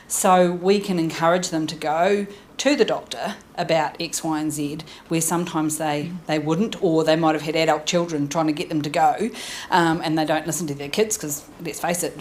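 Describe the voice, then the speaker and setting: Woman, New Zealand accent, low-pitched, chatty panel talk, handheld mic, faint noise. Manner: chatty, self-deprecating and informal. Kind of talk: panel presentation. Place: conference room, audience present.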